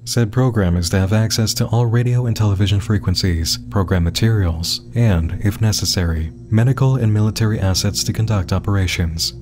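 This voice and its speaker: A man with a fairly low voice delivers scripted narration as the host of an explainer. His delivery is measured and dramatic, like a storyteller's, with music clearly playing behind him.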